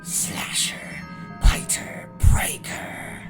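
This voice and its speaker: An actor voicing a small ghostly forest spirit that speaks in an eerie, accusing, hissing whisper. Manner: slow, drawn-out accusing chant. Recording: loud music over the voice.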